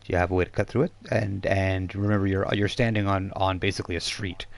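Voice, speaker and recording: A man with a low voice narrates a tabletop role-playing game as its game master. He improvises scenes informally, feeling his way haltingly through explanations. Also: steady tone, gravelly.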